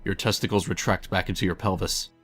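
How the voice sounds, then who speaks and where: Low-voiced man narrating a story over music. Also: steady tone, fast, fairly bright tone. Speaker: fan fiction narrator. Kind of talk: scripted second-person story narration.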